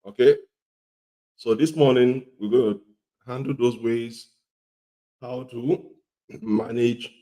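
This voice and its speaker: A middle-aged man with a cold and a slightly hoarse voice, teaching an informal health lesson, repeating his points and often asking "okay?". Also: mid-range voice, steady tone, slow, pauses often, mellow. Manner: repetitive, often checks in with "okay?". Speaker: health educator.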